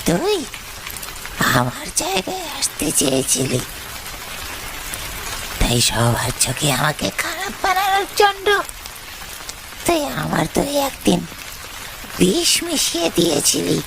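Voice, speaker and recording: A woman's voice: huge pitch swings, full character voice. A woman voicing the vengeful ghost of a wronged curd seller in a horror cartoon, accusing and dramatic. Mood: bitter, aggrieved. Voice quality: creaky, gravelly. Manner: slow, accusing, dramatic swoops in pitch. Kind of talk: Bengali horror cartoon acting. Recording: rain noise over the voice.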